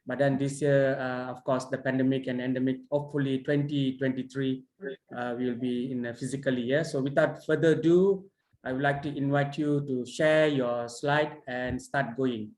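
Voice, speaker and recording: A man chairing an online conference session and giving feedback on a paper, halting and full of fillers and restarts. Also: medium pitch, flat delivery, a little mellow. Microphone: video call. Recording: roomy echo.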